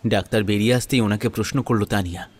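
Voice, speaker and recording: A man narrating a scripted first-person audio story in a measured, dramatic storytelling manner. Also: on the low side, fairly even intonation, breathy edge.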